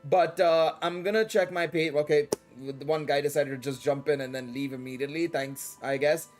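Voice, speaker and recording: A man's voice: fairly high pitch, steady tone, normal speed. A man with an Indian English accent that drifts toward British and American, chatting casually and in a rambling, slangy way as he streams a game. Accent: shifting Indian English with British and American touches. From Dubai and India. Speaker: live streamer. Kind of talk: casual livestream chat during gameplay. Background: music.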